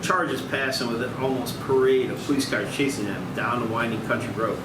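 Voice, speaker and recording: A man reading scripted stage directions as narrator at a table read, with slightly halting delivery. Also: mid-range voice, steady tone. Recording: distant mic, echoey room, loud background noise.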